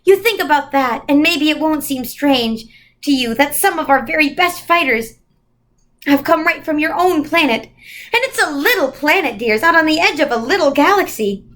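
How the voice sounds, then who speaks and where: A woman voicing a kindly, chatty old celestial lady, gentle and motherly, in a storybook reading. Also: high voice, lively intonation, normal speed, pitched above the performer's own voice. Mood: encouraging.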